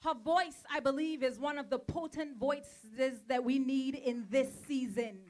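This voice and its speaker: A woman emceeing an outdoor rally in a park, energetic and coaxing as she introduces the next speaker. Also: high voice, a little slow. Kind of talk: emceeing and chant leading.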